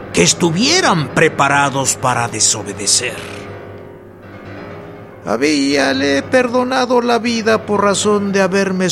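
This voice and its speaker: A man's voice: high voice, creaky, exaggerated voice. A man playing a stern, unyielding army general in a radio drama. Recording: music behind the voice.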